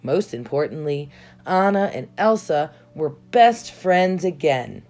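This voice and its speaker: Female children's storybook narrator, slightly low voice, very animated intonation, dramatic emphasis. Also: takes it slowly. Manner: dramatic emphasis on key words. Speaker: storybook reader. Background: soft music.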